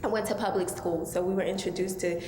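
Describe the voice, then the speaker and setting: A woman from Maryland telling her personal story as a guest speaker, soft-spoken and hesitant, with many pauses. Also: fairly low pitch, steady tone. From Maryland, USA. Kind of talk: personal testimony talk. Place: mosque hall. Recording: echoey hall, faint hum.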